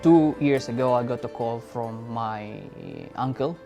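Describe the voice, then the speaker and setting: A man's voice: medium pitch, measured pace, mellow, faint music behind. Earnest man telling his founder story. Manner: earnest, searching for words. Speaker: startup founder. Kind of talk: personal story interview.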